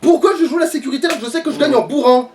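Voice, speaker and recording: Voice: high-pitched, racing. A let's play gamer talks casually through the action, swearing and grumbling along the way. The voice sits back from the microphone, with faint noise around it. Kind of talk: casual gaming commentary. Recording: distant mic with background noise.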